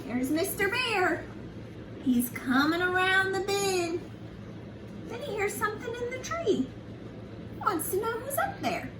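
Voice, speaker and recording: A woman reading a picture book to young children like a preschool teacher, in an animated, sing-song, encouraging way with simple questions to her listeners. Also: very high voice, takes it slowly, long pauses. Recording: echoey room, distant mic, noisy background.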